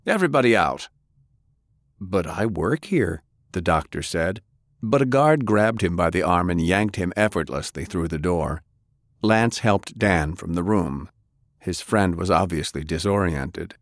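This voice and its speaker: Low-voiced male audiobook narrator. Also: dry recording, studio quality. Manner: measured, expressive. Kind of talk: dramatic audiobook reading.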